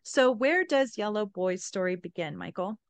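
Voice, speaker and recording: A woman's voice: medium pitch, expressive, normal speed, no room sound. A woman podcast host chatting in a folksy, conversational way and speaking directly to her listeners. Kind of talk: conversational podcast introduction to story time.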